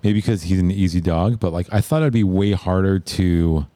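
A man chatting casually with a dry, deadpan manner. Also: low voice, normal speed, no echo. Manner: dry, deadpan, lots of short agreements. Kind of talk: casual chat.

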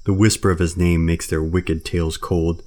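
A man's voice: sits low, flat delivery, normal speed, darkish tone, lightly acted. A man voicing a clumsy old male bear who wails and laments over a lost cub. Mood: resolved. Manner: wailing, lamenting.

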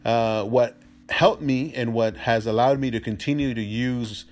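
A man whose first language is Spanish, giving an informal explanatory talk as a real estate agent, halting with frequent mid-sentence pauses. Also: medium pitch, fairly even intonation, soft background music. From immigrant to the US from a Spanish-speaking country.

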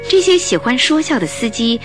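A woman narrating a radio drama in Chinese, in an expressive, scripted storytelling style. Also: on the high side, brisk, over music.

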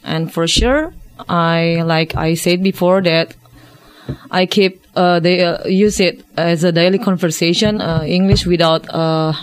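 A woman's voice: lowish voice, steady tone, measured pace, smooth, dry recording. A woman in her thirties from Indonesia with an Indonesian accent, talking as a guest in a discussion, with many hesitations and restarts. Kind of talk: casual discussion.

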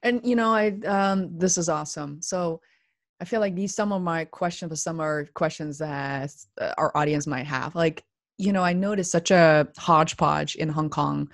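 Chatty woman host, low voice, rambling casual questions, dry close sound. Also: moderate pace, no echo. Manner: chatty, rambling, restarts often. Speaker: interviewer. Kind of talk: casual conversational interview.

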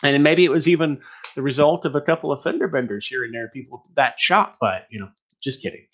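A man's voice: medium pitch, fast talker, fairly bright tone, muffled recording. A man from Texas presenting an online teaching webinar in a rambling, joking, self-deprecating way.

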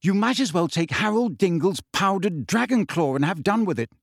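A man voicing a clever, brisk and bossy teenage schoolgirl. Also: sits high, animated, vocal fry, breathy edge, dry recording, studio quality, voice pushed up, full character voice.

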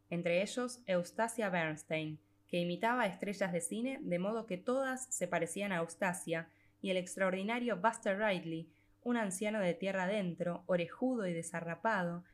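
A woman reading a literary short story aloud as an audiobook narrator, in a measured storytelling manner with drawn-out phrases. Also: lowish voice.

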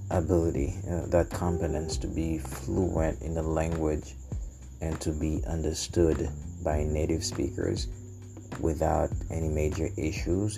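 A man with a Haitian accent, a native Haitian Creole speaker, talking unscripted as a podcast host in a halting way, searching for words and repeating phrases. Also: a bass voice, gravelly. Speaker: podcast host and language learner. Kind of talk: unscripted solo podcast talk.

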